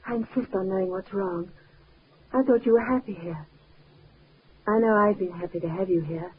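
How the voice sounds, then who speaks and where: A tinny, slightly noisy radio recording from the fifties with an actress as a well-bred young wife. Her mid-pitched voice is polite and emphatic, both proud and tender. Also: normal speed, close to an everyday voice. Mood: anxious, pleading. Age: young adult. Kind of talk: 1950s radio drama acting.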